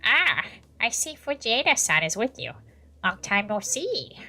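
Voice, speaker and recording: Woman doing a cheerful young man, undercover agent, polite, bright tone, animated pitch, game dialogue. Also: measured pace, faint music behind, exaggerated voice. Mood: cheerful, sly. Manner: cheerful, courteous.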